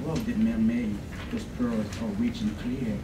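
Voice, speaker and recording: A man's voice: medium pitch, unhurried. A man reading poetry aloud at a podium in a college room. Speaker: poetry contest reader. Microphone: podium microphone, filmed from the audience. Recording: echoey room, distant, clear background noise.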